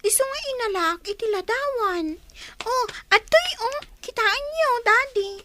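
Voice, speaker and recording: A woman's voice: extremely high pitch, expressive, unhurried, background noise. A woman playing a young schoolgirl daughter who pleads and explains earnestly in a radio comedy-drama. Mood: eager.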